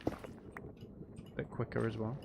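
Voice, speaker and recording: Man thinking aloud over gameplay, noisy background. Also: takes it slowly. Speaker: gaming video host. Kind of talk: casual gameplay commentary, talking to self.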